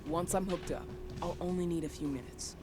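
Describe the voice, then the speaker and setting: Brief: actress as practical female tech expert, self-doubting, caring, understated, game cutscene with music clearly underneath. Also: lowish voice, fairly quick, natural voice. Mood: matter-of-fact. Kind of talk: video game cutscene acting.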